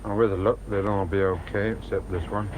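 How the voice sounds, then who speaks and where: Low-voiced, grave male medic acted out. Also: little pitch movement, moderate pace, close to an everyday voice. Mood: grave, resigned. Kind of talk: radio drama acting. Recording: clear background noise.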